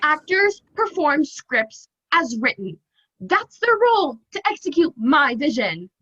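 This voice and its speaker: A woman's voice: sits high, big pitch swings, measured pace, a bit bright, heavily acted. A teenage girl playing a pompous, bossy, exasperated writer-director in a stage comedy over a lo-fi video call. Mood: imperious, exasperated. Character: imperious, exasperated writer-director guarding her script. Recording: dull lo-fi video-call audio.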